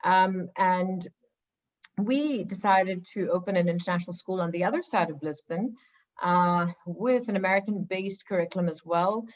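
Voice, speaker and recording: A woman from Singapore speaking on a webinar panel as a school founder, lively and chatty with many fillers. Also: on the low side, moderate pace. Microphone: video call. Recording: dull lo-fi video call audio.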